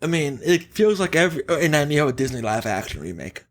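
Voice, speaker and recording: A man hosting a casual gaming podcast, giving opinions emphatically in a halting, self-correcting way. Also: on the high side, big pitch swings. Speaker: podcast host.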